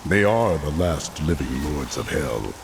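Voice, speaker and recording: A man voicing a grim, determined warrior with a deep, gravelly voice. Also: animated, measured pace, exaggerated voice. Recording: game mix with rain noise.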